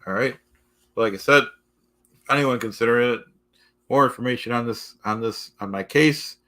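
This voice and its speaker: A man hosting a casual live stream, halting and repetitive, losing his voice, forever addressing "folks". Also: mid-range voice, bright, forceful tone. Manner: repetitive, keeps saying "folks" and "okay"; halting, restarts often.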